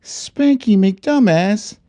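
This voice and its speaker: A man hosts a livestream storytime in a very animated voice that swings widely in pitch. He takes his time, stretching out phrases in a mocking storybook manner, then slips into casual chat with his viewers. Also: drawn-out words. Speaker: host of a live online storytime show.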